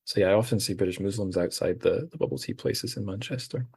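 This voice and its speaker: Deep-voiced man hosting a podcast chat. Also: fast talker, gravelly, darkish tone, slightly rough, no room sound. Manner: rambling, amused storytelling. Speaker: podcast host. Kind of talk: casual chat.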